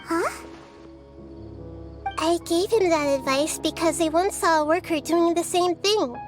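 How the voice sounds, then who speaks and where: A woman voicing a young girl goddess who is gentle, curious and wise, speaking softly and thoughtfully in a game with music behind. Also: very high voice, a little slow. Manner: soft, thoughtful, patient. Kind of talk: game voice acting.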